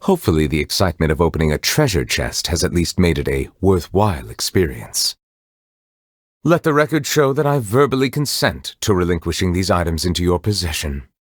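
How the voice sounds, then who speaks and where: A deep-voiced, courteous otherworldly judge from a video game, with a husky edge. He speaks formally and with reserve, choosing each word with care, yet his intonation moves widely. The sound is close and dry. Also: no echo, exaggerated voice. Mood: calm, formal. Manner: formal, measured, eloquent, reserved. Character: solemn, refined male judge, courteous otherworldly being. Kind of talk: scripted game character voice lines.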